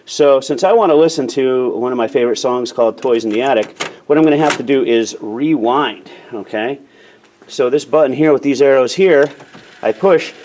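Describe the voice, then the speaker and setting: A man's voice: medium pitch, darkish tone, a little hiss or hum, rough recording. An American man giving a casual hands-on demonstration as an instructor, explaining plainly in small steps and checking in as he goes.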